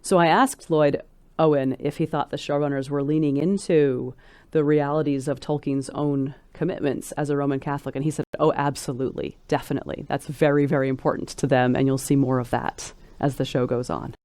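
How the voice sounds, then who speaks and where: A woman speaking as a scholarly interview guest, articulate and precise, phrasing her thoughts in a measured, thoughtful way. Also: sits low, steady tone, a little mellow, dry recording.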